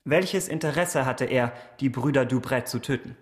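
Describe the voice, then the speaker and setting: A man acting a dutiful police officer of 1670s Paris in a German radio crime drama, speaking in a formal, measured, matter-of-fact way. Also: medium pitch, close to an everyday voice. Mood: interrogating.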